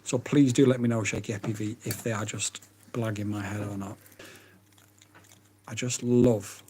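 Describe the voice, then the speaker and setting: Croaky man chatting to viewers. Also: lowish voice, normal speed, a little hiss or hum. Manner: excitable, chatty, talks to viewers. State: croaky, hoarse voice. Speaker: livestream host. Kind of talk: casual livestream chat.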